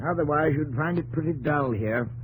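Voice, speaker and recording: Actor as hearty higher-voiced army general. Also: male voice, fairly quick, close to an everyday voice, rough recording. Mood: hearty, wry. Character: brisk, hearty male army major general. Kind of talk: 1950s radio drama acting. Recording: old radio recording with faint noise.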